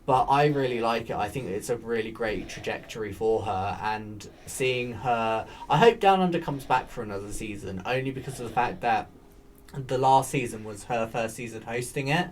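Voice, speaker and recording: A man hosting a relaxed podcast, talking in a soft, soothing voice. He rambles along with plenty of ums and likes, his pitch sitting in an ordinary middle range. A faint hiss of background noise sits under the recording. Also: normal speed. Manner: rambling, chatty, with many fillers. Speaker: podcast host. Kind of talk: casual chat.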